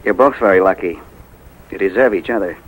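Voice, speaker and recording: A man's voice: low voice, fairly quick, husky, rough recording. A man playing a kindly, reassuring family friend in a 1950s radio drama, easygoing in manner. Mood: easygoing, affectionate. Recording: vintage 1950s radio recording.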